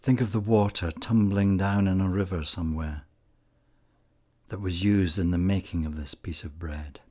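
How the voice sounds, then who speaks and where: A man leading a guided meditation in a calm, measured way with frequent pauses, his husky voice softening at the ends of phrases. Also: low voice. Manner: calm, measured, pausing often. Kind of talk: slow guided meditation narration.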